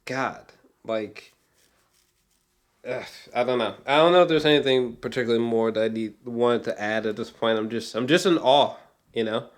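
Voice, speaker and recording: A man's voice: mid-range voice, normal speed. A man giving a casual, rambling film review with geeky, awed enthusiasm, often hesitating, trailing off and swearing casually.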